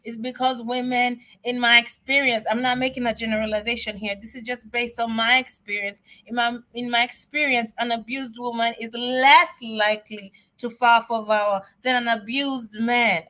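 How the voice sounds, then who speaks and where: Woman, middle-aged, bright and forceful tone, slightly high voice, emphatic livestream talk. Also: fairly even intonation, moderate pace, few pauses. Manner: emphatic, repeats herself, direct appeals. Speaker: immigration legal helper hosting a livestream. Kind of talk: casual livestream Q&A.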